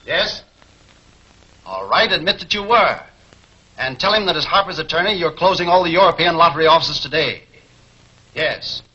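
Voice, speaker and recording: Scheming older gang chief, sharp forceful voice, muffled vintage serial sound. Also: male voice, fairly high pitch, expressive, normal speed, long pauses, slightly rough, exaggerated voice. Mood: curt, scheming. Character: hard, scheming older male racket boss. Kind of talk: 1940s film serial acting. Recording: dull 1940s film soundtrack.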